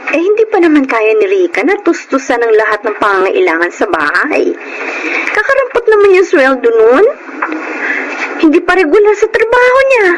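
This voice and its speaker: A woman's voice: sits high, big pitch swings, normal speed, background noise. A woman playing a dutiful, burdened breadwinner of about thirty in scripted radio drama narration and dialogue. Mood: pleading. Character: burdened, dutiful breadwinner daughter of thirty telling her story.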